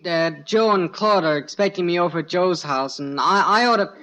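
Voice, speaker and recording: An actor playing an excitable teenage son in a 1950s radio sitcom, eager and boyish. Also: male voice, sits high, normal speed, poor audio. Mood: excited.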